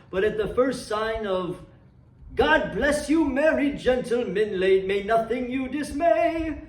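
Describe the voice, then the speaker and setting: A man narrates a storybook with theatrical, deliberate emphasis. His voice is high for a man and very animated in its pitch. It is picked up in a roomy, echoing space. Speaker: reader. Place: indoor room, seated reading from a book. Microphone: far camera. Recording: roomy echo, distant microphone.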